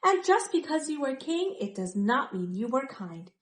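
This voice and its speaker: Woman voicing an earnest, high-pitched storybook animal scribe. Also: big pitch swings, moderate pace, echoey room. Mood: concerned. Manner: declamatory, preachy, emphatic. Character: earnest animal scribe, boldly admonishing a proud king. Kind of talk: children's storybook character voice.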